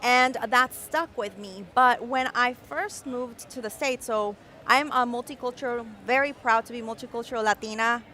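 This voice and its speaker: A young adult woman, born in Mexico City and raised in Caracas, explaining her design process with expressive enthusiasm in a live-stream interview. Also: on the high side, moderate pace, hardly any pauses. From Mexico City, raised in Caracas, Venezuela. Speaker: guest designer.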